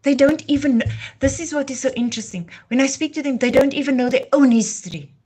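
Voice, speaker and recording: A woman's voice: sits high, quick pace, warm tone, slightly rough. A woman from Namibia, hosting a live talk in a passionate, declamatory way. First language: Afrikaans. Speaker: livestream host. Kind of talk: impassioned heritage talk.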